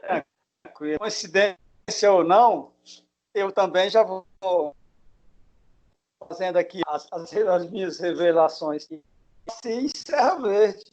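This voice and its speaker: A man's voice: high voice, darkish tone. A man hosting a casual interview, friendly and familiar with his guest, asking questions and sharing his own memories. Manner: friendly, calls guest "my dear". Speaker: interviewer, host. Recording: dull lo-fi sound.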